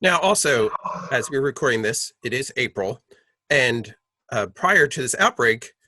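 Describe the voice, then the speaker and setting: A man hosting a local TV interview, speaking in calm, measured reminders. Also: medium pitch. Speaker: TV host.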